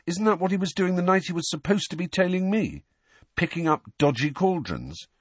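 A man voicing a teenage boy who speaks quickly and skeptically. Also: high voice, lively intonation, slightly rough, dry recording, pitched above the performer's own voice.

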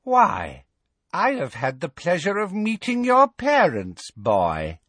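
A man voicing a cruel, gleeful witch who taunts in a high, mocking voice. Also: huge pitch swings, takes it slowly, a bit bright, no echo, exaggerated voice. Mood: gleeful cruelty.

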